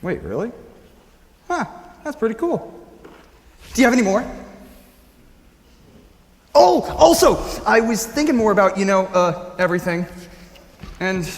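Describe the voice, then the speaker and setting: A man's voice: high voice, animated, unhurried, long pauses. A man playing an awkward, earnest, kindhearted teenage boy in a stage musical, speaking hesitantly and rambling with stammers. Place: school auditorium staged reading. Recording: echoey auditorium, piano music behind.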